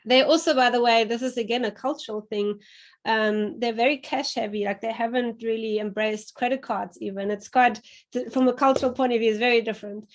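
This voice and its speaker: A woman with a German accent, speaking as a panelist and thinking aloud with many fillers and restarts. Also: fairly high pitch. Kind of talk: panel discussion.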